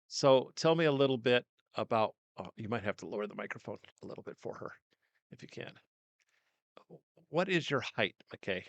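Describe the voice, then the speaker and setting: Loud, gravelly older man hosting an interview. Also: mid-range voice, lively intonation, normal speed, no echo. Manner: loud, coaxing, chatty. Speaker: host. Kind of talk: casual interview. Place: small home studio. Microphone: directional studio mic.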